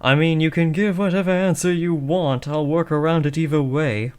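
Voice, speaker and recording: A man's voice: a little high, steady tone, normal speed, clear, resonant tone, close to an everyday voice. A young man playing an awkward, lovestruck teenage would-be supervillain in a comedic audio roleplay, switching between theatrical menace and hesitant rambling. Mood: casual, rambling. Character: awkward lovestruck teenage boy, aspiring supervillain, eager, insecure. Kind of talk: comedic roleplay audio drama addressed to the listener.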